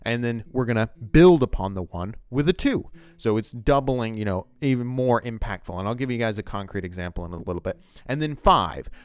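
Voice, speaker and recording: A man's voice: medium pitch, animated, fairly quick, hardly any pauses, smooth, no echo. A man giving an informal explanatory commentary, talking like a teacher in a conversational, rambling way with emphatic stresses. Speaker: writing teacher and video essayist.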